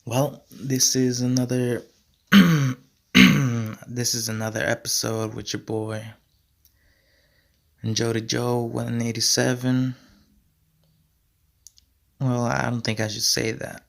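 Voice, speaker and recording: A young man hosting his own podcast, rambling in a slangy, repetitive way and going hoarse as he talks. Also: mid-range voice, flat delivery, takes it slowly, pauses often. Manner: slangy, repeats himself, many fillers. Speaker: podcast host. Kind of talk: casual rambling monologue.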